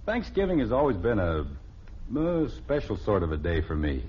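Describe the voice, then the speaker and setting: An American actor playing a middle-aged, soft-hearted but grumbling father in an old radio family comedy. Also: lowish voice, wildly swooping pitch, normal speed, slightly rough, full character voice. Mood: wistful, hesitant. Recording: dull, noisy old radio recording.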